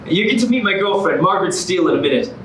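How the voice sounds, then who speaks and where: A man's voice: high-pitched, expressive, background noise. A man playing a gloomy, defensive boyfriend with a morbid streak, theatrical in a staged musical comedy reading. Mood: smug scene-setting. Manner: theatrical, halting when worked up. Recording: echoey room, distant mic, music behind.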